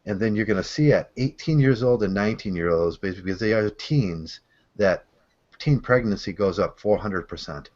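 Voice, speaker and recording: A man hosting a casual online discussion, with a soft voice that has a gravelly undertone and a relaxed, blunt, rambling way of talking. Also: fairly low pitch, a little fast. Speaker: host. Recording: dull lo-fi call audio.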